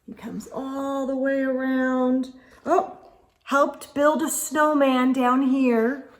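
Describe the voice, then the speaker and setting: A woman reading a picture book aloud to children, expressively, with gasps and hushed asides. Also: high voice, flat delivery, slow pace. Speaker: children's read-aloud storyteller.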